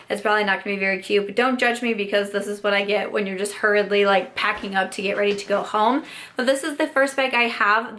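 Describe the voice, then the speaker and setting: A young woman vlogs about her makeup with a voice gone hoarse and raspy. She chats along brightly and casually, tossing in plenty of "like". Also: medium pitch. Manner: bubbly, upbeat, lots of "like". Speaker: beauty vlogger. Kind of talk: casual chatty vlog.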